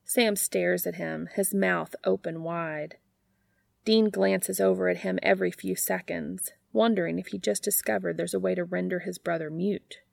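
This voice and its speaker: A woman's voice: fairly low pitch, fairly even intonation, normal speed, creaky, darkish tone, no echo. A woman reading fan fiction aloud as scripted story narration.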